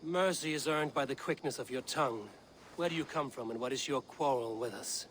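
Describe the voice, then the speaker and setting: An actor playing a stern, resolute warrior leader in a video game, with an authoritative and deliberate delivery. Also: male voice, medium pitch, a bit bright, slight background noise, close to an everyday voice. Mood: stern questioning. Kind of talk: dramatic video game acting.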